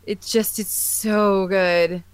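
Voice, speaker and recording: A woman with an American accent and a smooth, clear voice. She co-hosts a casual podcast and talks with gushing enthusiasm. Her pitch sits in the middle range for a woman. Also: fairly even intonation, extremely slow. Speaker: podcast co-host. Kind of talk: casual chat about sci-fi and comics.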